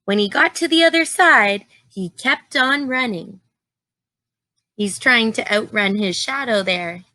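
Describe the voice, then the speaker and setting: A woman reading a children's storybook aloud like a teacher, in an expressive, deliberate way, with simple asides and questions to her young listeners. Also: fairly high pitch, dry recording.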